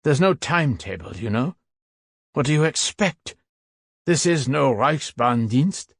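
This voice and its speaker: A man reading an audiobook voices a weary fifty-year-old soldier who talks in a casual, mocking, unhurried way. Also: medium pitch, lively intonation, creaky, fairly bright tone, slightly rough, no room sound, studio quality, voice pushed up, heavily acted. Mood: offhand.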